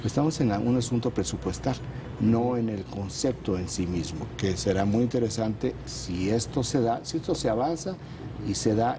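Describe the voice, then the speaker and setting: A man, a regular on a political panel show, presenting a news roundup in a measured, explanatory way with frequent hesitations. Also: on the low side, noisy background.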